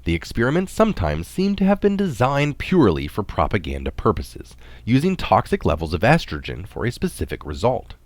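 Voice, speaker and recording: Low-voiced man reading, very animated intonation. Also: no echo. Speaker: reader of a health article. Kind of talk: scripted article narration, read aloud.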